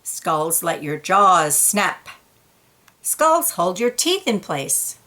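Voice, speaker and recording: A woman reading a children's picture book aloud in an expressive, deliberate way, with playful emphasis on key words. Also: lowish voice.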